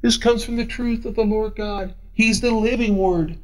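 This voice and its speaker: A man in his forties, a pastor preaching a sermon, animated and answering imagined questions, moving up and down as he talks. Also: moderate pace. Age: 40s. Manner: animated, swooping pitch, paces while preaching.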